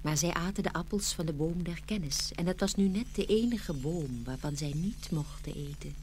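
A woman playing a gracious, gentle fairy of paradise in a children's fairy-tale radio play, measured and tender. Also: fairly low pitch, slight background noise, natural voice. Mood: solemn, tender.

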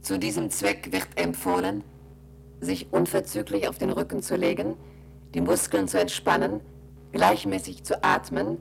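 A man voicing a station computer that reads out emergency instructions in a dry, instructional monotone. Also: moderate pace, a little hiss or hum, full character voice, low-quality recording. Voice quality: low gravelly monotone. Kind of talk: radio play.

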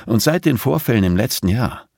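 A man's voice: mid-range voice, lively intonation, a little fast, gravelly, a little mellow, no room sound, studio quality, pitched above the performer's own voice. A man voicing an exasperated male police chief in an audiobook, firm, admonishing and weary. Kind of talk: audiobook character dialogue.

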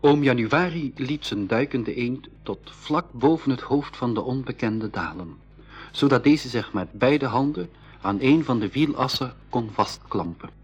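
An actor playing a storyteller who recounts an adventure in scripted school radio narration. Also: male voice, mid-range voice, animated, unhurried, husky. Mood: measured. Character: male storyteller recounting his own adventure. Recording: dull lo-fi radio recording with music.

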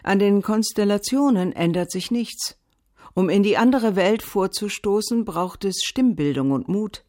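A woman's voice: medium pitch, lively intonation, unhurried, darkish tone, natural voice. An actress in a radio drama playing an assured, didactic female Veda teacher. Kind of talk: radio drama, scripted panel lecture.

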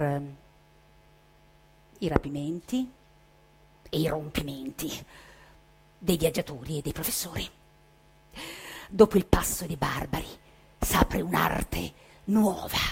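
A woman giving a theatrical literary recitation as a stage reader, declamatory, stretching words and pausing. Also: medium pitch, breathy edge.

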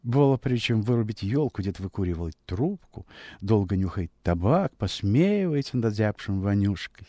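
A man narrating a classic story for radio, with expressive, measured, dramatic storytelling. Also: fairly low pitch, no echo.

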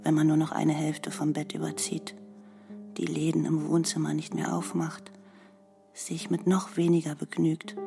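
A woman playing a brooding granddaughter in a literary radio play monologue, slow and creaky, with music behind her. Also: deep voice, exaggerated voice. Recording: prominent music under the voice.

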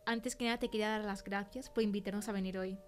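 Young woman from spain chatting as a guest, normal pitch, bit of music behind her. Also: fairly even intonation, fast talker. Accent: Spain Spanish. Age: young adult. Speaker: podcast guest, film producer. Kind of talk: conversational testimony.

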